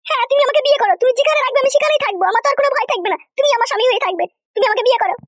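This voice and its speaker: A woman's voice: very high voice, rapid-fire, dry recording. A woman voicing an insistent, pleading mistress in a comedy cartoon. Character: insistent mistress begging her lover to marry her. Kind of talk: animated comedy cartoon dialogue.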